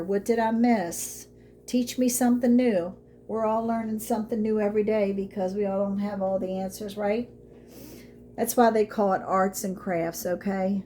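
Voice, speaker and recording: A woman's voice: fairly high pitch, warm tone, a little hiss or hum. A woman, losing her voice, chatting through a craft tutorial in an encouraging way. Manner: chatty, encouraging, says y'all a lot. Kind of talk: casual how-to vlog.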